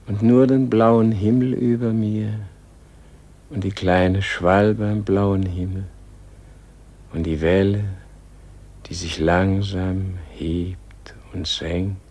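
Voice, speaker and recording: A man playing a dying, serene Gandhi in a solemn radio play, speaking in a gentle, contemplative, weary manner. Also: deep voice, fairly even intonation, extremely slow, long pauses, heavily acted.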